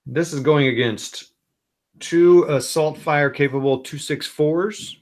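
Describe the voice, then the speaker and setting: A man hosting a board game stream, calmly explaining the rules with lots of ums, talking into a computer mic. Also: fairly high pitch. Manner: unhurried, many ums, thinks aloud over rules. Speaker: wargaming stream host. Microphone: computer mic at his desk.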